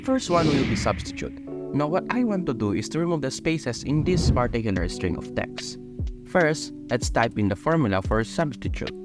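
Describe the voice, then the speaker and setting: A young man with a Filipino accent talks through spreadsheet functions one step at a time, his pitch rising and falling in a lively way. The tone stays instructional and even-paced, and clear guitar music plays behind his voice. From Philippines. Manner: steady explanatory step-by-step delivery. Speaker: tutorial host. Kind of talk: screen-recorded software tutorial.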